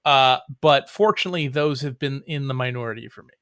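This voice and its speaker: A man's voice: medium pitch, a little fast, a bit bright, no room sound, clean studio sound. A middle-aged man chatting casually as an interview guest, with a wry, joking manner. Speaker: comics writer and playwright, interview guest.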